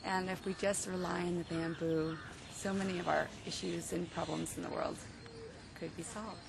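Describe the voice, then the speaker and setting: Gravelly-edged woman, even tone. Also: fairly low pitch, moderate pace. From California, United States. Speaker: event participant. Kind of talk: on-camera testimonial. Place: outdoors by a thatched hut. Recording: noisy outdoor camcorder recording. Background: outdoor ambience, birds.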